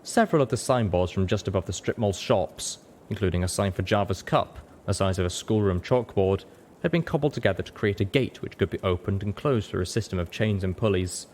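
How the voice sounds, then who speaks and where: A man's voice: brisk, darkish tone. A man reading a story aloud as a dramatic audiobook narrator. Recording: rumbling background noise.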